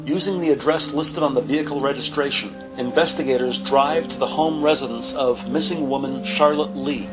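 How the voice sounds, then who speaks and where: A man's voice: medium pitch, normal speed, few pauses, loud music behind the voice. A man reading scripted narration for an audio drama.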